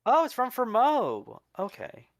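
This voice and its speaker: A stream host chatting casually over a voice call, curious and full of quick questions. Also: medium pitch, wildly swooping pitch, dry recording, clean studio sound. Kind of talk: casual chat on a live stream.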